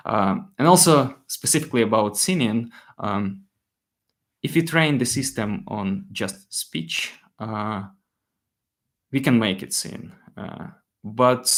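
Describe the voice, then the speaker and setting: Gravelly low-voiced man answering questions. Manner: halting, many fillers and restarts, reads questions aloud. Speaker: company CEO answering audience questions. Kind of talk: live Q&A, explaining technology.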